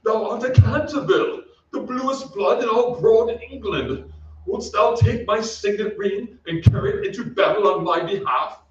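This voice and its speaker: A man's voice: sits high, moderate pace, natural voice. A man playing a weary, courtly old English ghost knight in an old-time radio play, with archaic, theatrical, solemn delivery. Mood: grateful, pleading. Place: theatre stage. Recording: echoey stage, distant mic, dull, hissy.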